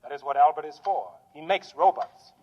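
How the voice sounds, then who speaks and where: Man playing a brisk, high-voiced servant robot. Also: expressive, lightly acted. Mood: busy, formal. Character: busy, literal-minded male servant robot. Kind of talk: 1950s radio drama acting. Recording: dull, noisy old radio recording.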